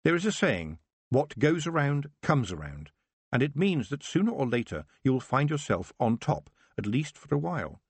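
A man voicing a sensible young witch who speaks calmly and plainly, reasoning things through. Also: medium pitch, normal speed, slightly rough, no echo, pitched above the performer's own voice. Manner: calm, plain-spoken, reasoning.

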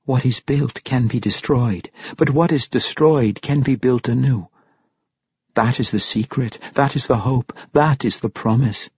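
A man doing a serene, gentle young woman. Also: medium pitch, unhurried, slightly rough, no room sound, dull audio. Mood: mystical.

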